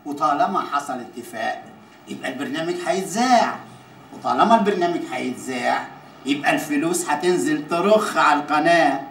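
A man's voice: fairly high pitch, animated, a little fast, vocal fry, fairly bright tone. An actor playing an Egyptian TV channel employee, a formal, hesitant spokesman with a deferential manner, in a comedy series. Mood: scheming. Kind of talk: Arabic comedy series acting. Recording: echoey room with background noise.

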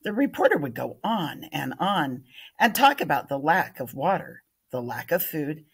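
A woman's voice: low voice, big pitch swings, moderate pace, vocal fry, husky. An older American woman, a teacher, reading a children's story aloud expressively. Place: indoor room, at a computer. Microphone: webcam screen recording.

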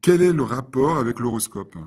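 Brief: man, mid-pitched, husky, scripted lesson dialogue reads. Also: expressive, moderate pace, darkish tone. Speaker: dialogue reader for French learners.

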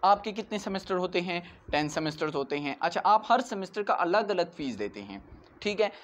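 A man's voice: high-pitched, animated, brisk. A man in his twenties with a Pakistani accent giving an explanatory law lecture in a didactic, repetitive way. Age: 20s. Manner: didactic, repeats key points, checks with "right" and "okay". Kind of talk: explanatory classroom-style lecture. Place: small indoor room in front of a whiteboard. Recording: clearly noisy amateur room recording.

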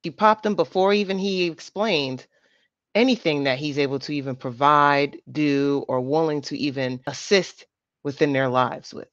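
Woman with a deep voice preaching about dating, kinda muffled dry sound. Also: normal speed, no echo. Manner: lecturing, emphatic. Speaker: reaction channel host. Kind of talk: opinionated commentary. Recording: dull lo-fi dry voice.

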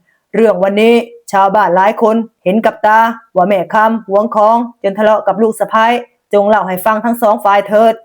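A woman's voice: medium pitch, fairly even intonation, a little fast, natural voice. A woman voicing a stern, authoritative village headman in a folk tale, formal and admonishing. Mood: firm.

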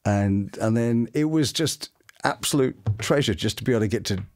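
A middle-aged man with a British accent telling anecdotes in an expressive, enthusiastic way as an interviewed voice actor. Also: mid-range voice, moderate pace. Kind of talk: animated anecdotal interview chat. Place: small home studio room. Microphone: boom-mounted studio microphone.

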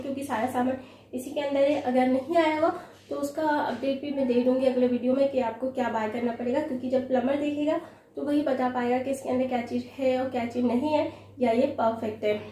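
A woman's voice: high-pitched, fairly even intonation, fairly quick, warm tone. A woman in her thirties, a lifestyle vlogger who chats to viewers while unboxing a product at home, admitting she is no expert. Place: living room at home, indoors.

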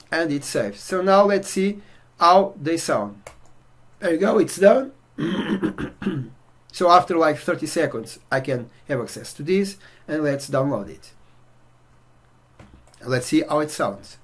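A middle-aged man from Portugal with a Portuguese accent, walking through a software demo in a relaxed way with many fillers. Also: a little high. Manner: relaxed, filler-heavy walkthrough. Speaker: software reviewer. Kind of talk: casual screen-share demo. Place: indoor room at home.

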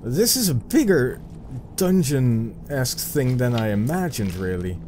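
This is a young Dutch man with a Dutch accent narrating a dungeon crawl at his own slow pace. His voice sits at an ordinary middle pitch, and he mutters half-thoughts and little self-mocking jokes as he plays. Faint noise hums under the recording. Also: very animated, a little mellow. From Netherlands. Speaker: gameplay commentator. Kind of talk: casual let's play commentary.

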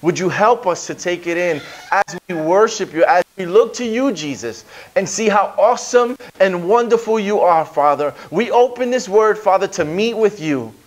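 Energetic pastor. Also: male voice, high-pitched, moderate pace. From Brooklyn, New York. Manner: energetic, conversational, stumbles and restarts. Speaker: guest pastor. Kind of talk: sermon with prayer and scripture reading. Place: small church stage with brick walls. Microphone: handheld microphone.

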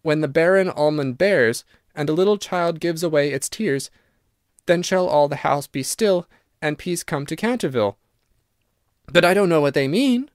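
A man's voice: fairly high pitch, animated, normal speed, no echo, studio quality, lightly acted. A man voicing an earnest, kind and slightly pert young girl in a storybook. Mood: eager. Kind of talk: storybook narration character.

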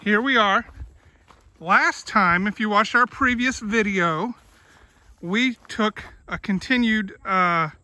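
A man's voice: high-pitched, takes it slowly, pushed and bright, dry recording. A man narrating a family hiking vlog outdoors, chatty and pointing things out as he walks. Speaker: family vlogger. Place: outdoor hiking trail in a coastal park.

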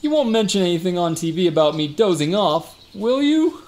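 Guy acting a slangy tough security guard for radio comedy, fibbing and bragging, pitch all over the place. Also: big pitch swings, fairly quick, a little mellow. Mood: defensive, boastful. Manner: folksy, slangy, exaggerating. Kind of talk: comic radio drama acting.

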